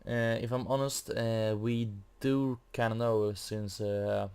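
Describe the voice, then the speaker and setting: Low, flat, gravelly male gamer. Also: slow. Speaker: gamer narrating a quest playthrough. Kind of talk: casual game commentary.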